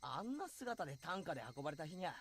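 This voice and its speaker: A voice actor playing an earnest, husky teenage schoolboy, the hero of an anime visual novel. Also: mid-range voice, sing-song, racing, a little hiss or hum. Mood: flustered, exasperated. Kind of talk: Japanese anime game voice acting.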